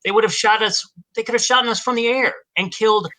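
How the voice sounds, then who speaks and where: A stubborn crew negotiator played by a man in a sci-fi tabletop game, earnest and argumentative. The voice sits fairly high and has a very bright, tense, forceful edge. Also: fairly quick, rough recording. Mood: defensive. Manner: insistent, argumentative. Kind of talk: improvised tabletop role-play.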